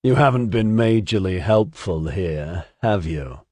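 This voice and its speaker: A man voicing a brash, laid-back young man who talks in loud, casual slang. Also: low voice, animated, unhurried, no room sound. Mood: cajoling.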